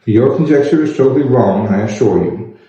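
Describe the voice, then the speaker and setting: A man voicing a proud, reserved young gentleman with a formal, dry manner in a storybook. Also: medium pitch, a little fast, natural voice. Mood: aloof, composed. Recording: echoey room, slightly dull sound.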